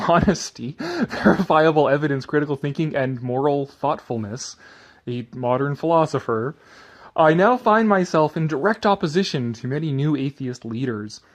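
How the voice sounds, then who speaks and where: A man's voice: a little high, lively intonation, normal speed. A man giving a sardonic commentary and theatrical reading of an article, drifting into rambling asides.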